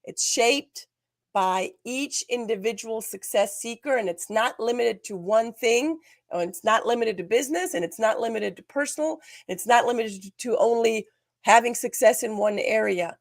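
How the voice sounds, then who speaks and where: A woman hosting an interview show, energetic and emphatic, her voice slightly hoarse today. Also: on the high side, normal speed, no room sound, studio quality. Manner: energetic, emphatic, restarts phrases. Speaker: podcast host. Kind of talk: scripted show opening and interviewing.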